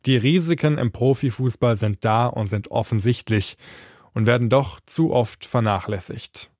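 A man's voice: mid-range voice, normal speed, darkish tone, dry recording. A man narrating a scripted podcast as its host and reporter, speaking into a close microphone.

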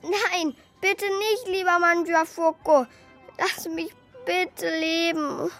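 Little puppet boy, really high voice, naive n stammery, slow, kids radio drama. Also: fairly even intonation, a bit bright, soft background music, heavily acted. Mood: pleading. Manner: hesitant, earnest, stammering. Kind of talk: children's radio play acting.

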